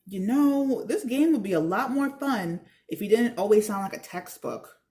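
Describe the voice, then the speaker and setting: A woman's voice: mid-range voice, lively intonation, normal speed, warm tone, lightly acted. A woman voicing a sassy, playful young girl in a storybook who likes to tease. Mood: teasing. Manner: playful, taunting.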